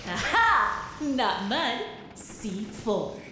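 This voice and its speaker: A woman's voice: on the high side, very animated, very slow, exaggerated voice. A woman playing a reckless young mech pilot heroine in an audio drama, taunting and gleeful. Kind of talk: cartoonish audio drama acting. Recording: very echoey, music behind.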